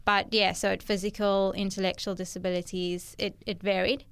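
Young South African woman, interview guest, very clear smooth tone, hesitant and reflective. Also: medium pitch, little pitch movement, fairly quick. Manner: thoughtful, hesitant with fillers. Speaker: guest, ministry worker. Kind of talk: conversational interview.

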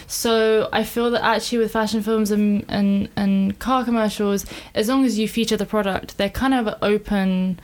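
A woman's voice: mid-range voice, fairly even intonation, moderate pace, hardly any pauses. A woman, a film director talking reflectively and informally in a casual interview, explaining her work at length. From born in Iran, grew up in England. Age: 30s.

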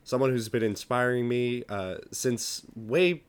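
A young man from the United States co-hosting a podcast in casual chat, joking and dry, with an animated delivery. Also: mid-range voice, measured pace, clear, resonant tone. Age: young adult. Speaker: podcast co-host.

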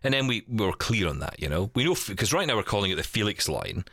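A man's voice: fairly low pitch, very animated, fast, a bit bright, no room sound, studio quality. A man hosting a podcast in casual chat, rambling and repeating words as he goes, with a teasing, jokey streak. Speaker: podcast host.

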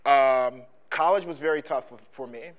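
A man co-hosting a podcast, speaking in a casual conversation, halting with many restarts and ums. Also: medium pitch. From Philadelphia. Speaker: podcast co-host; sports coach and trainer.

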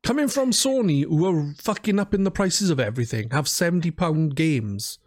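A man's voice: fairly high pitch, animated, moderate pace. A man talking casually as a guest on a podcast about games.

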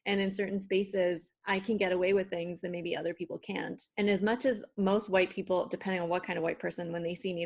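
A woman's voice: on the low side, vocal fry. A young woman from Toronto with a Toronto accent, whose first language is Spanish, telling personal stories in a candid, casual way with swearing and acted-out quotes. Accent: Toronto English. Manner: candid storytelling with swearing, acting out quoted people. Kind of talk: casual conversation.

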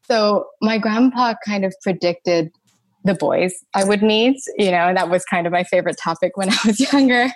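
A young adult woman from Thailand, a podcast guest and energy healer, telling her story in a lively, conversational way with laughs between phrases. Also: medium pitch, moderate pace.

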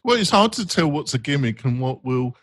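A man's voice: fairly high pitch, very animated, a little fast, fairly bright tone, no room sound. A man with a strong North London accent, hosting a panel in a chatty, joking way. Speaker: panel host. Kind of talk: panel discussion.

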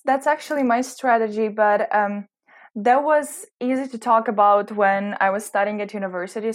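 A young woman in her twenties answers an interviewer's questions in a reflective, unhurried way. Her accent blends British and American sounds. She often pauses and hunts for the right word, with her voice sitting at an ordinary middle pitch. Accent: mixed British and American. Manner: hesitant, searching for words. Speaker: interview guest, recent graduate.

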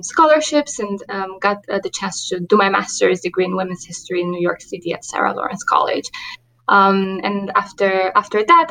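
A woman with a Romanian accent speaks in a podcast interview, earnest and steady. Her voice sits at a middle pitch. She pauses often with ums and restarts her sentences. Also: slight background noise, low-quality recording. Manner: earnest, many fillers and restarts. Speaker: diversity and inclusion manager, guest.

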